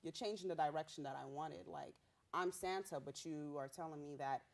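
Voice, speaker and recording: A young woman rapper from Haiti, a Haitian Creole speaker, telling her story in a bubbly, animated way with street slang. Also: sits low, a little fast. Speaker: rapper guest. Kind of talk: casual interview.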